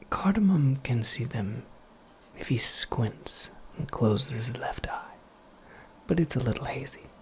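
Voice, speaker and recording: A performer voicing an eccentric, absent-minded witch in a breathy, creaky ASMR roleplay, rambling with wry, cryptic politeness. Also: medium pitch, natural voice. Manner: rambling, whimsical, politely formal, self-correcting. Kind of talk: soft-spoken ASMR roleplay monologue.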